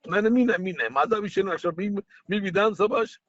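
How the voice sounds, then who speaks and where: A man's voice: high-pitched, quick pace, a bit bright, no room sound. A man speaking as a party official on a recorded phone call, defensive and placating, repeating himself and interjecting often. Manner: repetitive, placating, keeps interjecting. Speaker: political party district liaison official.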